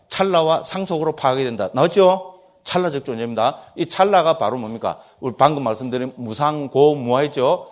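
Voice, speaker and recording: A man with a Gyeongsang accent, a Buddhist monk giving a doctrine lecture, who keeps asking his listeners for agreement in a lively, teasing way. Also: on the high side. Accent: Gyeongsang Korean. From Gyeongsang region, Korea.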